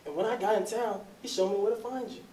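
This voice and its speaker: A man's voice: high-pitched, steady tone, fast talker, mellow, close to an everyday voice. Man acting ardent middle-aged suitor, distant and roomy. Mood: ardent, eager. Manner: folksy, effusive speech. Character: ardent, insistent 42-year-old man courting his lost sweetheart. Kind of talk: readers theatre stage drama. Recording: roomy, distant, noisy recording.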